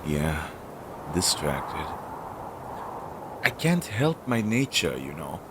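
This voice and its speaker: A man's voice: big pitch swings, slow pace, heavily acted. A man playing an anxious, talkative demon crow familiar in an audio roleplay, speaking hesitantly with sighs and chatty asides to his master. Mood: sheepish. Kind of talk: scripted audio roleplay addressed to the listener. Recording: noisy low-quality home recording.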